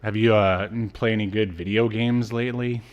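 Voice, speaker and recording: A man with a fairly low voice chats casually as a podcast co-host on a livestream. He jokes around and pokes fun at himself as he talks. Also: fairly even intonation, moderate pace, highs cut off.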